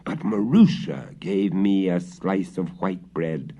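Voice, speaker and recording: A man's voice: low voice, expressive, slow, dark tone, heavily acted. A man voicing a thin, neglected, resentful guard dog in a storybook tale, with a growling delivery.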